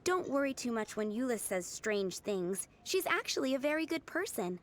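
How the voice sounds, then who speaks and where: An actress voicing a kind young female cook in a video game, speaking in a gentle, earnest way. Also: a little high, big pitch swings, moderate pace.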